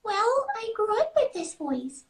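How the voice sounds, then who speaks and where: A woman voicing a sweet, sprightly young fairy-tale princess in a squeaky, helium-like voice. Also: very animated, a little slow, exaggerated voice. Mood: playfully sweet.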